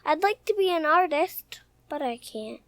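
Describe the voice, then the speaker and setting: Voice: high-pitched, lively intonation, normal speed, fairly bright tone, no echo, studio quality. A young performer playing a shy, anxious six-year-old boy in a radio soap, polite, hesitant and earnest. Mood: timid, curious.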